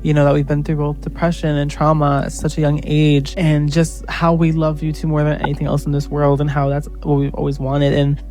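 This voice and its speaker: A man's voice: a little high, completely flat delivery, brisk, few pauses, darkish tone, faint music behind. A man in his twenties, a guest on a friend's channel, talking in a gushing, effusive way. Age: 20s. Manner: gushing, effusive, lots of "literally" and "like". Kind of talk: casual chat.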